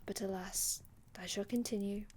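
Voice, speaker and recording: A woman voicing a melancholy vampire from an older time in an ASMR roleplay, speaking in a hushed, breathy way with dramatic pauses and formal, old-fashioned wording. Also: medium pitch, fairly even intonation, a little hiss or hum, natural voice. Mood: wistful, hushed storytelling. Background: music.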